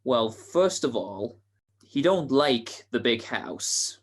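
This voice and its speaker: Gangster henchman, played by a man: slow, sardonic, quietly threatening, 1940s radio crime play. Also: medium pitch, sing-song, breathy edge, heavily acted. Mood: veiled menace. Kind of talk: amateur 1940s-style radio drama.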